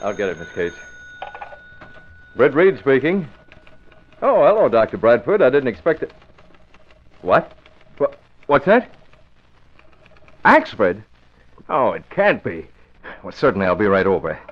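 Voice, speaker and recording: An actor playing a concerned, decisive newspaper boss in a radio drama, speaking earnestly. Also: male voice, mid-range voice, huge pitch swings, measured pace, long pauses. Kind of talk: 1950s radio drama acting. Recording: muffled, noisy old radio recording.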